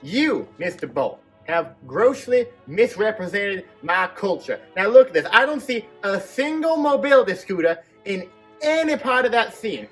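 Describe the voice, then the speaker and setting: A man hosting a comedic movie review, narrating in a sarcastic, mocking, animated way. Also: high-pitched, measured pace, husky, soft background music.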